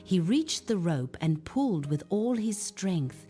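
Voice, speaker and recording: A woman's voice: lowish voice, big pitch swings, takes it slowly, dark tone, faint music behind. A woman narrating a scripted moral story for children as a storyteller.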